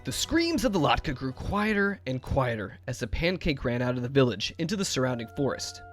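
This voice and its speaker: Male storybook narrator, expressive and highly varied in intonation, with music underneath. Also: a little fast.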